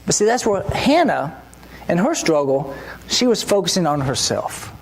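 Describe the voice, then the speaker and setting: A mid-pitched preacher talks through a church sermon as if chatting with friends. The delivery keeps turning to the congregation with rhetorical questions. A faint hiss sits under the voice. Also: sing-song, moderate pace, gravelly, poor audio. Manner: conversational, full of rhetorical questions.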